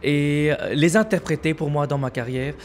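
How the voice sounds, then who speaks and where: A man's voice: fairly high pitch, a little hiss or hum. A man who sings in the show, answering an interviewer with enthusiasm. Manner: enthusiastic, fast-talking. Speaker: singer interviewed.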